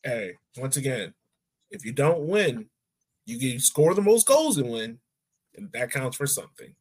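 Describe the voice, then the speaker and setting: A man's voice: medium pitch, very animated, a little slow, darkish tone, slightly rough. A man hosting a sports podcast, chatting casually in a folksy, colloquial way. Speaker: podcast host.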